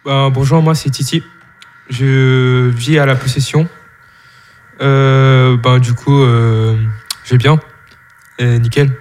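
A man's voice: medium pitch, fairly even intonation, takes it slowly, long pauses, a little mellow. A teenage boy answering interview questions hesitantly, with many fillers. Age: teens. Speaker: guest.

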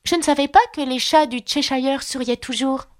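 A woman's voice: high-pitched, steady tone, moderate pace, pitched above the performer's own voice, close to an everyday voice. A woman voicing a polite, timid and curious young girl in a storybook reading. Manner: polite, earnest, anxious. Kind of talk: audiobook character voice.

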